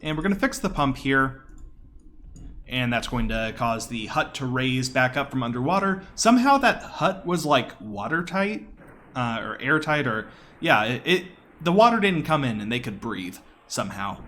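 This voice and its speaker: A man's voice: medium pitch, sing-song, normal speed. A man giving casual, enthusiastic live commentary on his own video game speedrun in a conversational way. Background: quiet game audio.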